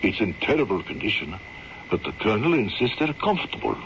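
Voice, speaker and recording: Calm, lilting country doctor. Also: male voice, on the low side, fairly quick. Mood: grave. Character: reassuring, courteous Scottish country doctor. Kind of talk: old-time radio drama acting. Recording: dull old radio broadcast, some noise.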